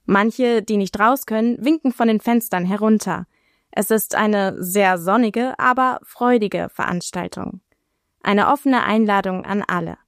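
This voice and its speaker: A woman's voice: medium pitch, unhurried, no room sound, clean studio sound. A woman reporter reading a scripted radio feature narration. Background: music.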